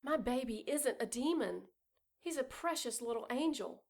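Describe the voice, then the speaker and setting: A woman's voice: a little high, expressive, moderate pace, vocal fry, a little mellow. A woman playing a frightened but defiant pregnant young woman in an audio drama, emotional, naive and protective. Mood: bewildered denial.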